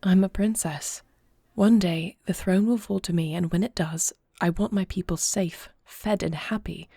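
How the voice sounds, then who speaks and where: A woman plays an earnest fantasy princess who leads in war. Her voice is low and mellow with a gravelly edge, and she speaks formally and solemnly, as in a scripted audio drama. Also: no echo, studio quality, exaggerated voice. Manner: formal, solemn, deliberate phrasing. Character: young adopted princess and war leader, earnest, measured, regal. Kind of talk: scripted audio roleplay acting.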